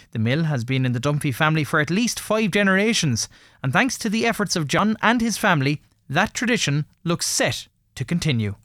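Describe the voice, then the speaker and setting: A man's voice: a little high, fairly bright tone, no echo, studio quality. A man with an Irish accent presenting a radio programme, reading a scripted introduction as host.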